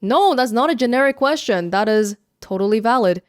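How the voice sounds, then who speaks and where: A woman's voice: fairly high pitch, expressive, brisk, dry recording. A young woman from Canada answering viewer questions in a casual vlog, chatty and self-mocking, speaking close to the microphone. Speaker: YouTuber. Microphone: close studio mic.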